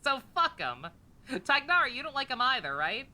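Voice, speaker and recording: A woman's voice: sits high, sing-song, moderate pace, sharp tone. A woman game streamer giving casual, irreverent live commentary, rambling and swearing freely.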